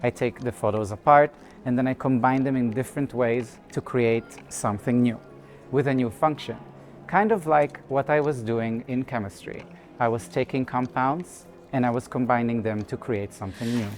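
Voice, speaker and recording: A man from Israel, an artist speaking thoughtfully and in measured phrases about his own work. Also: medium pitch, faint music behind. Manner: thoughtful, measured, with pauses and restarts. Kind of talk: reflective personal statement.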